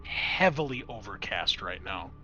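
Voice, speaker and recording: Man, bright voice, game master, conversational, explanatory, casual tabletop narration. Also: sits low, animated, unhurried, muffled recording, a little hiss or hum.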